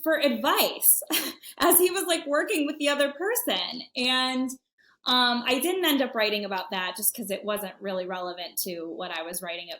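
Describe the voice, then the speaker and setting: A woman's voice: a little high, normal speed, a bit bright. A woman guesting on a real estate podcast, talking casually with fillers and frequent laughs. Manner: chatty, many fillers, laughs often.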